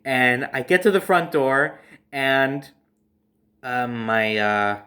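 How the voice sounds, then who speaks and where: A young adult man telling a casual personal story as host, in a dramatic, emphatic manner with drawn-out phrases. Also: medium pitch, expressive, pushed and bright.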